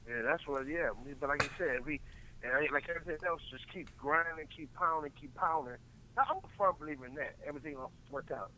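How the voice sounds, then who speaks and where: A man co-hosting a sports talk show who talks fast in a fairly high, bright voice. He agrees readily and repeats his points over and over, with a faint background noise. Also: rough recording. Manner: quick, agreeable, repeats himself.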